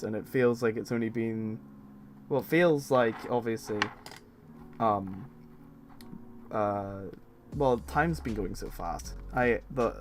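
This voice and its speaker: A man's voice: mid-range voice, a little hiss or hum. A man in his twenties chatting casually on a stream, rambling with many fillers and restarts. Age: 20s. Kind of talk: casual chat.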